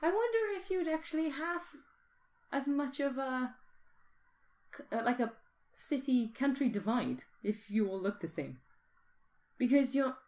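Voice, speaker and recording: A woman's voice: high voice, lively intonation, unhurried, long pauses, a little mellow. A woman podcast co-host in casual speculative discussion, thinking aloud and often posing questions.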